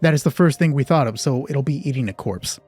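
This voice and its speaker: A man narrating a solo tabletop horror roleplay as game master in measured, short descriptive phrases. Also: medium pitch, darkish tone, slightly rough. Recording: music behind the voice. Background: music.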